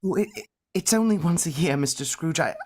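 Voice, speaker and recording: Acted timid office clerk, mid-pitched, stammering, deferential, old-time radio play. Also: animated, brisk, a little mellow, lightly acted. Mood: nervous, apologetic. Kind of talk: vintage radio drama acting.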